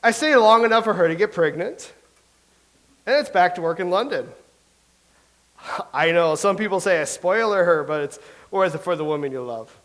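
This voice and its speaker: Boastful, rambling young playwright, slightly high voice, as an actor plays him. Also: male voice, animated, a bit bright. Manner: rambling, recites verse slowly. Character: chatty boastful young playwright from old England. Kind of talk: staged play reading.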